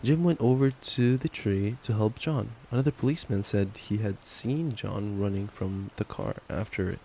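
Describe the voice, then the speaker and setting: A man's voice: on the low side, normal speed, dark tone. Affectionate young man over noisy rain ambience. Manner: affectionate, playful, stumbling reader given to giggly asides. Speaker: ASMR roleplay performer playing a boyfriend. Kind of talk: ASMR boyfriend roleplay, reading a bedtime story.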